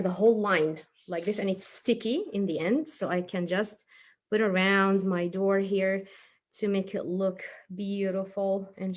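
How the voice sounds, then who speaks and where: Woman chatting through a kids' craft. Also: medium pitch. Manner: chatty, encouraging, thinking aloud while working with hands. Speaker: children's craft and story presenter. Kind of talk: casual craft tutorial for young children, narrating steps aloud. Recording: faint background noise, small clicks.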